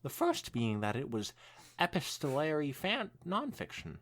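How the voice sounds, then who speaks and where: A man's voice: fairly high pitch, wildly swooping pitch, normal speed, fairly bright tone. A man co-hosting a podcast, reading fan fiction aloud expressively with some stumbles and dropping into casual chat. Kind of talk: dramatic read-aloud mixed with casual chat.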